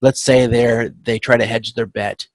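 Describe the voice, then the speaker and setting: A man giving an informal online lecture as an instructor, talking in a halting, self-correcting way and often checking in with the listener. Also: medium pitch, little pitch movement, dry recording, rough recording. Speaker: instructor teaching supply chain management. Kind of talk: informal lecture walking through spreadsheet calculations. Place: online video conference with screen share.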